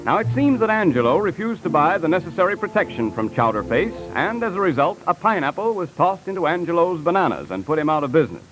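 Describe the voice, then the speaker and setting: A man's voice: fairly high pitch, sing-song, fast, few pauses, over music, rough recording. A man announcing and narrating from a script in 1930s radio style, on an old broadcast recording.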